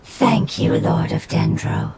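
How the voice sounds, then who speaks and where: A woman's voice: slow, noisy background, natural voice. A woman voicing a sorrowful nature spirit in a video game, formal and protective of its kin. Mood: grateful. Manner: formal, earnest, solemn. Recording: loud game music behind.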